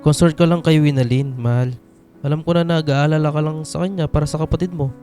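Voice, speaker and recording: A young husband in a radio drama, acted by a man, with a soft-edged mellow tone and a slightly raised pitch. He is gentle, caring and reassuring. Music runs underneath. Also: steady tone, quick pace, natural voice. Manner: gentle, concerned, soothing.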